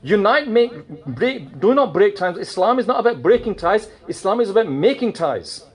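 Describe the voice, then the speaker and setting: A man giving a mosque sermon, animated and full of rhetorical questions, slipping in Urdu and Punjabi phrases. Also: high-pitched, moderate pace, slightly rough. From United Kingdom. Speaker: preacher.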